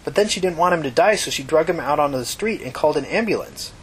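A woman's voice: low-pitched, animated, fast, breathy edge, voice pushed up, heavily acted. A woman voicing a desperate, stammering teenage runaway girl in an emotional audiobook story. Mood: puzzled. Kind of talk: audiobook character dialogue.

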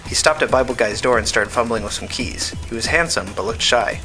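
Man narrating a novel, measured and matter-of-fact. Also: mid-range voice, pushed and bright, soft background music. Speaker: podcast narrator. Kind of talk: scripted audiobook narration of fiction.

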